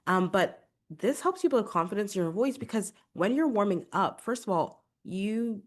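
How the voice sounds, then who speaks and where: A woman's voice: medium pitch, lively intonation, moderate pace. A young adult woman, a vocal coach, giving chatty, encouraging podcast advice with a congested voice. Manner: chatty, encouraging, fillers like "like" and "right?".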